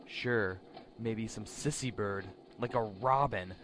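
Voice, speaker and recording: A man's voice: on the low side, takes it slowly, a bit bright, a little hiss or hum. A man playing a cocky, sneering henchman in a superhero fan dub, taunting with tough-guy menace. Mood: smug. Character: sneering, cocky male henchman and bird handler.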